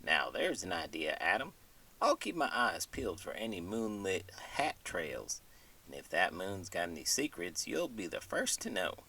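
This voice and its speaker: A man's voice: low-pitched, sing-song, normal speed, creaky, bright, forceful tone, husky, recorded from a distance, full character voice. A man voicing a good-natured, puzzled cowboy with an American cowboy drawl and folksy turns of phrase in a scripted bedtime skit. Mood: musing. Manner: folksy, colorful cowboy idioms. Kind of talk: scripted comedic bedtime interlude.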